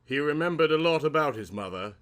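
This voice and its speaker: A man's voice: on the high side, lively intonation, a little fast, fairly bright tone. A man narrating a comic story in a theatrical, mock-serious audiobook style.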